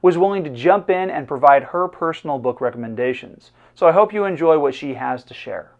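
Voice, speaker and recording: A man with a slightly high voice gives a scripted host introduction. The recording is dull and a little muffled. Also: animated, fairly quick.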